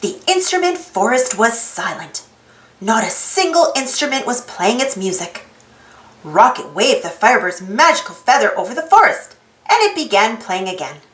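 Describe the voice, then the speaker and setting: A woman reading a storybook aloud to children in an expressive narrating style, inviting young listeners in. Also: a little high, normal speed, reverberant, low-quality recording.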